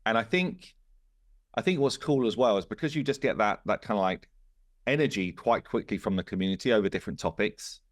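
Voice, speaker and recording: A man's voice: fairly low pitch, animated, fairly quick, no echo, clean studio sound. A man talking casually as a podcast host, explaining things informally and voicing imagined dialogue as he goes. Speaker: game studio founder and podcast host.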